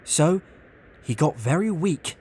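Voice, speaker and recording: A storyteller narrating a scripted children's tale in an expressive, deliberate way. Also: mid-range voice, slight background noise.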